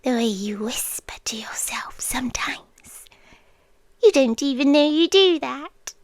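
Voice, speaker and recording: A British woman playing an obsessive, possessive girlfriend in an ASMR roleplay, whispering softly and intimately close to the microphone. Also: high-pitched, lively intonation, dry recording. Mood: creepy tenderness. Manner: slow, breathy, intimate whispering mixed with soft voice. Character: obsessive, possessive yandere girlfriend lurking under the bed. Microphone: close, dry microphone.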